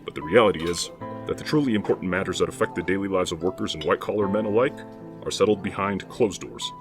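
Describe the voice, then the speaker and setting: A pompous, lecturing 1920s football authority with a very deep voice, played by a man. He speaks in long, formal phrases. Music plays underneath him. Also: quick pace, close to an everyday voice. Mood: lofty. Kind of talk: audio drama acting.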